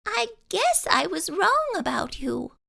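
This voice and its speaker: A woman voicing a kind, sweet old lady with a gentle, drawn-out delivery for a storybook. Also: very high-pitched, huge pitch swings, gravelly, voice pushed up, heavily acted. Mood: sad.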